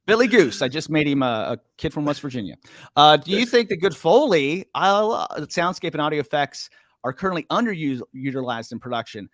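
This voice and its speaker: A man hosting a podcast in a relaxed, hesitant way, with many uhs and jokey asides. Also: on the high side, brisk, dry recording, clean studio sound. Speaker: podcast host. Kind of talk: casual podcast conversation.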